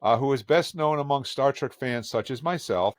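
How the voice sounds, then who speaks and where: A man's voice: medium pitch, normal speed. Man hosting a trivia round. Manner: joking, runs the trivia segment. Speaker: podcast host. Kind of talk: casual chat.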